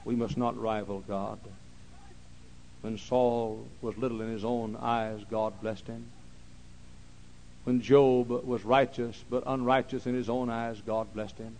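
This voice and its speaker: A man preaching a sermon, repeating phrases and swinging from quiet, slow talk to loud shouting. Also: mid-range voice, little pitch movement, a little hiss or hum, low-quality recording.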